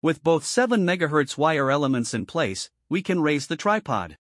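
A man narrates a product demonstration in a scripted, step-by-step instructional style. His voice is slightly high, recorded close and dry as a voice-over. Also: sing-song, moderate pace, dry recording.

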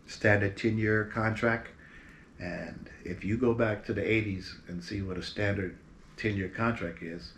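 A man in his fifties, from Berkeley, spins stories from his music days in a relaxed, animated way. His voice sits a little low with a gravelly fry, and the room around him rings with echo over a faint hiss. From Berkeley, California. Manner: relaxed, animated storyteller with lively quoted voices. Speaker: musician guest. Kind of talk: casual storytelling interview. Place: room in front of a green screen, seated in an armchair.

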